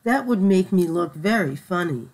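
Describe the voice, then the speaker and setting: A woman voicing a kind, motherly female bear in a storybook, gentle and comforting. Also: on the low side, lively intonation, moderate pace. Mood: kindly. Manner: gentle, soothing.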